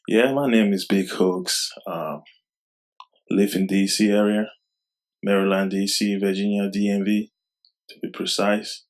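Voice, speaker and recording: A young man from Cameroon, raised in Germany, speaks as a rapper in a casual interview. His voice sits low and stays flat, with little rise or fall. He talks softly and haltingly, leaning on "you know". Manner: soft-spoken, halting, many fillers like "you know".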